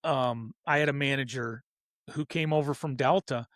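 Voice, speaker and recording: A man's voice: mid-range voice, moderate pace, a bit bright, dry recording, studio quality. A man in his fifties hosting a casual, opinionated hobby show, talking earnestly in a rambling way and correcting himself as he goes. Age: 50s. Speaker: host of a hobby racing show. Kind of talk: casual opinionated talk about hobby racing.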